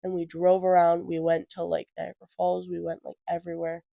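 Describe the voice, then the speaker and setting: Young woman of eighteen, stuffy nose, chatty with lots of fillers, gravelly, mellow, dry close sound. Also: lowish voice, completely flat delivery, brisk, no echo. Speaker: podcast co-host. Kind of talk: casual chat.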